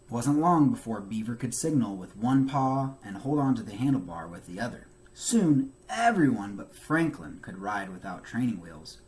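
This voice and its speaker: Male storybook narrator, teacherly, expressive and emphatic, widely varied pitch. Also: normal speed, few pauses, low-quality recording. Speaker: teacher reading a children's book aloud.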